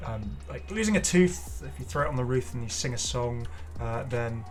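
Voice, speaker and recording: A man hosting a casual game showcase, talking in an enthusiastic, rambling way with halting explanations. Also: mid-range voice, lively intonation. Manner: enthusiastic, effusive. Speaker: gaming video creator and host. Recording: music playing behind the voice. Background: clear background music.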